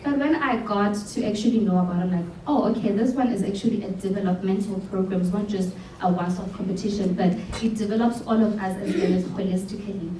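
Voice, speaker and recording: A young woman with a South African accent speaks earnestly, pausing to restart her thoughts. Her voice is mellow and unhurried. She is heard from a distance in an echoing hall over a faint low hum. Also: fairly even intonation. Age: young adult. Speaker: program alumna and speaker. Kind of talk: speaking on stage at a showcase. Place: event hall.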